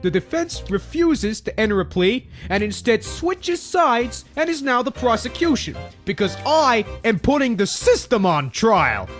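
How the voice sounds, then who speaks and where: Cartoon dub: young wise guy, voiced by a man, high-pitched, cocky griping, slangy delivery. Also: big pitch swings, a little slow, hardly any pauses, fairly bright tone, faint music behind, heavily acted. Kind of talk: comic dub voice acting.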